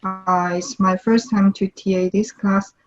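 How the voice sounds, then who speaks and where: A woman's voice: mid-range voice, little pitch movement, a little slow, dull audio, faint music behind. A woman graduate teaching assistant introducing herself in an online class, hesitant, with "uh" and "um" fillers. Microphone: video call.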